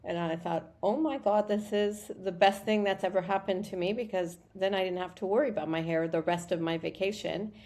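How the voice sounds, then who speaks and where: A woman's voice: medium pitch, fairly even intonation, brisk, hardly any pauses, a little mellow. A woman from Morocco, a guest founder of a hair product company, telling her story in a chatty interview and restarting sentences often. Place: Montreal.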